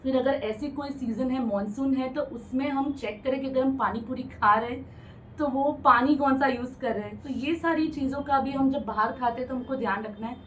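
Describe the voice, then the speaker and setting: A woman's voice: high voice, moderate pace, far from the mic, slight background noise. Hindi-speaking woman doctor, patient explanations. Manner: explains patiently, mixes English medical terms into Hindi. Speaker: ENT doctor guest. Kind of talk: radio health interview, explaining plainly. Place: radio studio.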